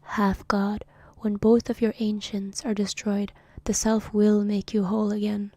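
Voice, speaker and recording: A woman voicing a solemn ancient male cosmic being in a hushed whisper, reading a comic aloud on a stream. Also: mid-range voice, monotone, a little slow, dry recording, clean studio sound. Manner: hushed whisper, formal speech about itself.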